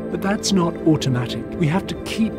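A man narrates a promotional film from a script. His voice is a little higher than most men's, and music plays clearly behind him. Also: lively intonation, brisk.

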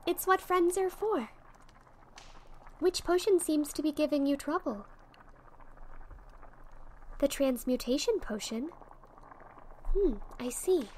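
High-voiced, unhurried young witch, played by a woman, gentle and encouraging ASMR roleplay friend, light background noise. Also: rough recording. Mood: helpful, friendly. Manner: soft, patient, encouraging. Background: fizzing, bubbling sound effects.

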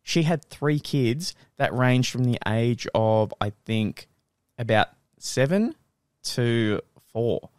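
A man's voice: lively intonation, takes it slowly, smooth, no echo, studio quality. Australian man, casual podcast co-host. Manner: swears freely, gets loud and high when joking. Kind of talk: casual chat. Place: living room podcast set. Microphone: studio mic on boom arm.

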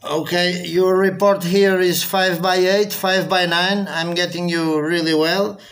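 A man's voice: high voice, level tone, measured pace, a bit bright. A man from Portugal with a Portuguese accent, speaking as an amateur radio operator making contact calls.

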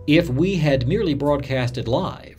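A man's voice: fairly high pitch, lively intonation, a little slow, darkish tone, soft background music. A man playing a radio newsman who earnestly recalls his career in a dramatised first-person recollection.

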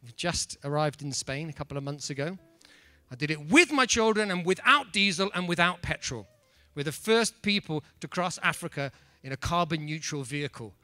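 English guy in his 40s-50s doing a funny talk, really bright voice, super dry mic. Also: fairly high pitch, normal speed, dry recording, faint music behind. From England. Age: middle-aged. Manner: animated, playful, mock-apologetic. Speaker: speaker. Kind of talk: stand-up comedy talk. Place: conference room. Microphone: handheld mic.

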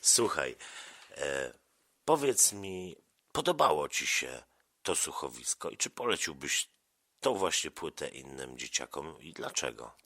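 A man's voice: very deep voice, sing-song, measured pace, a bit bright. A man speaking casually as an interviewer, asking questions in an encouraging, affirming manner. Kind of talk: casual interview with a child about an audio story.

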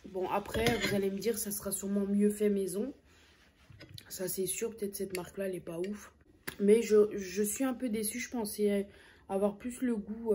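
A woman's voice: medium pitch, darkish tone, a little hiss or hum. A young woman telling a story casually while eating on camera, chatting with lots of hesitant fillers, in a small room close to the camera. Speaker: food vlogger.

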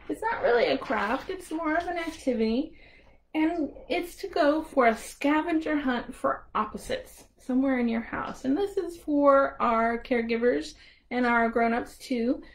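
Slow, high-pitched American woman leading storytime. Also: rough recording. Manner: playful, encouraging, simple child-directed phrasing. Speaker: children's librarian hosting storytime. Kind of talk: children's storytime, talking to young children.

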